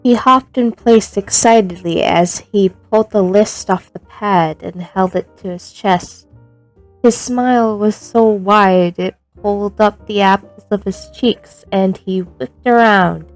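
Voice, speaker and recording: A woman's voice: medium pitch, measured pace, dry recording, soft background music, low-quality recording. A woman speaking informally and expressively as an amateur narrator of her own fan fiction. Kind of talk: informal intro and expressive storybook-style reading.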